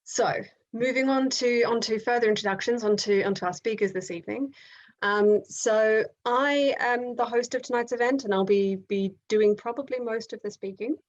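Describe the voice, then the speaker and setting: A woman's voice: a little high, moderate pace, dull audio. A woman with an Australian accent hosting an online event on a video call, talking with frequent ums and restarts. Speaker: event host.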